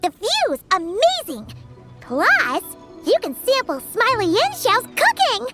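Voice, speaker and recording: A woman voicing a tiny, bubbly, childlike fairy companion with a squeaky, chirpy delivery in a video game. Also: wildly swooping pitch, slow, heavily acted. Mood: upbeat. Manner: chirpy, refers to self in third person. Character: tiny bubbly childlike fairy companion girl, excitable, talks in third person. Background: game music.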